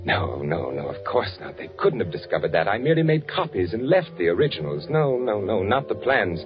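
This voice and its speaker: An actor playing an anxious, secretive man posing as a German traveler, in halting, self-questioning 1940s radio suspense style. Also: on the low side, sing-song. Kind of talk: 1940s radio suspense acting, confessional first-person narration and dialogue. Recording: muffled old 1940s radio recording with music.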